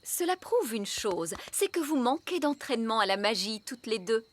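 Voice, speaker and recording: A woman voicing a strict fairy nanny in a children's cartoon, brisk and commanding as she teaches. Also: on the high side, big pitch swings. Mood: scolding. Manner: didactic, commanding. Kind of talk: children's cartoon dub acting.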